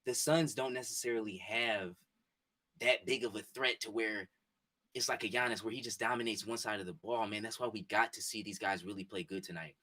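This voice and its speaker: A man in his twenties chatting casually with his live-stream viewers from a home setup, excitable and repetitive. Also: lowish voice, brisk. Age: 20s. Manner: excitable, repetitive, talks straight to chat. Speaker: sports streamer. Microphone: desk mic and headphones.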